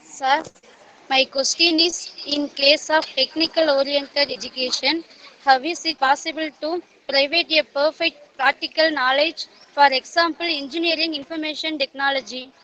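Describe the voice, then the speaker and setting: Woman, student question, high bright voice, polite. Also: steady tone, moderate pace, a little hiss or hum, poor audio. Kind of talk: formal question.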